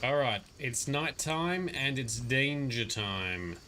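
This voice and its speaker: Chatty bright-voiced male streamer. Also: mid-range voice, expressive, slow pace, slight background noise. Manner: chatty, rambling, muttering asides and complaints. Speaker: livestreamer playing a game. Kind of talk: casual live gameplay commentary.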